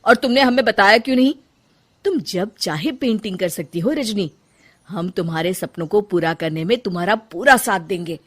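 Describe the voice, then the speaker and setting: A woman's voice: medium pitch, moderate pace, natural voice. A woman voicing a concerned, caring young husband who speaks earnestly and insistently in a cartoon story. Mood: soothing.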